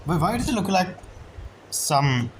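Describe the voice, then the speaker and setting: Low-voiced woman streaming a game. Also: big pitch swings, measured pace, a little hiss or hum. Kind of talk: casual gameplay commentary. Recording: distant mic under loud game music.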